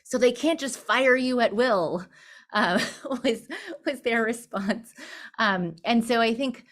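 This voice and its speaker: A woman's voice: fairly high pitch, slow. A middle-aged woman from Southern California, a law firm leader, telling her life story candidly with light humor. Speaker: guest, law firm managing partner. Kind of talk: personal storytelling in interview.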